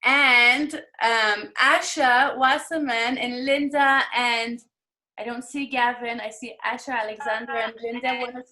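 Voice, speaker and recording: A woman's voice: a little high, steady tone, a little slow, bright, forceful tone. A woman teacher leading children through an online class assembly, giving instructions and prompting them to answer.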